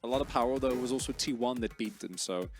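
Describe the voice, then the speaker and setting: A man's voice: mid-range voice, quick pace, faint music behind. A young man giving casual esports analysis, rambling and correcting himself as he goes, with lots of fillers. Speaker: esports caster.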